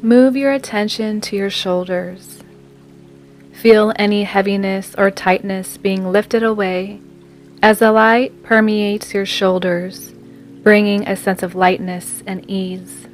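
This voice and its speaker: Female meditation guide, medium pitch, very unhurried soothing scripted read over music. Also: fairly even intonation. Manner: slow, soothing, measured delivery with long pauses. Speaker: meditation guide and podcast host.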